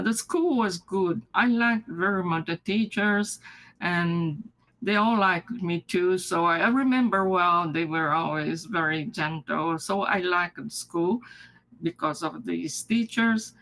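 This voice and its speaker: An older woman whose first language is Japanese, from Brazil, recalling her childhood in an interview, halting and often restarting with soft laughs. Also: lowish voice, low-quality recording. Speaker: interviewee, retired mathematics professor. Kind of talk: recorded life-story interview.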